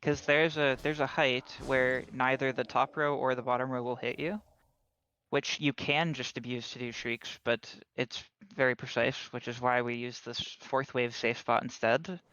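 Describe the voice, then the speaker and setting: A man's voice: mid-range voice, little pitch movement, moderate pace, bright, forceful tone, smooth, no room sound, lo-fi sound. A man doing live commentary on a speedrun race, casual and analytical as he explains strategy.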